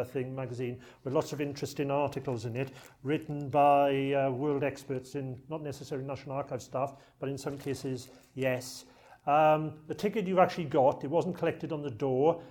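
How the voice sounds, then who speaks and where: A man's voice: a little high, a little mellow. A man with a Welsh accent, originally from South Wales, giving a jokey, chatty public talk to a live audience in a lecture room. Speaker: archive lecturer.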